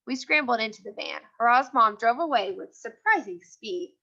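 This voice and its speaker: A woman teacher reads a children's story aloud with dramatic flair. Her voice is fairly high and bright, with very lively intonation, and the recording is a little dull and lo-fi. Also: normal speed. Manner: animated, dramatic reader. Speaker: teacher reading aloud to a class. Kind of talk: expressive read-aloud of a children's chapter book.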